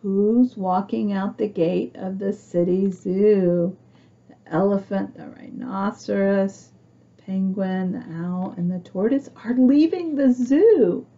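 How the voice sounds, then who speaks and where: A woman's voice: medium pitch, slow pace, warm tone, dull audio. A woman reading a picture book aloud to young children, expressively, with chatty asides and questions for her listeners. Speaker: storybook reader for young children.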